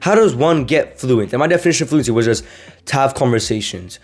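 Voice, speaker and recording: A man's voice: medium pitch, expressive, fast. A young man from Sweden, a language-learning vlogger, talking casually to camera, rambling with many fillers and restarts.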